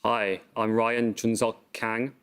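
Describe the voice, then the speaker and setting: Young man, from South Korea, quiz team captain, lowish pitch, flat intonation. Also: slow, clean tone. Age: young adult.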